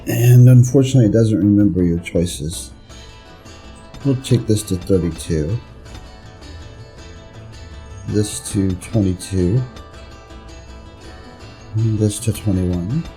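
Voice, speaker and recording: Very mellow, dark-voiced man gaming. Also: lowish voice, a little slow, pauses often. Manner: rambling, thinking aloud. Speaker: gameplay streamer and reviewer. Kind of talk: casual gameplay commentary. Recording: game music behind the voice. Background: video game music.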